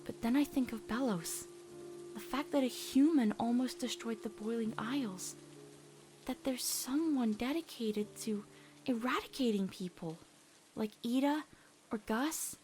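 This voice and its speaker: A woman voicing an earnest, self-doubting teenage girl with halting delivery in a dramatic comic dub. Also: a little high, a little mellow, lightly acted. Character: guilt-ridden, earnest, stubborn teenage human girl.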